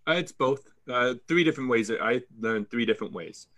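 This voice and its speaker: A man's voice: medium pitch, a little fast, fairly bright tone. A man with a Canadian accent, a sales coach answering interview questions in a confident, fluent storytelling way.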